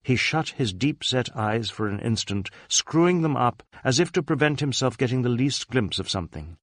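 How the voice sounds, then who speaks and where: A man narrating a detective novel as an audiobook, with measured, dramatic storytelling. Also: mid-range voice, expressive, no room sound, clean studio sound.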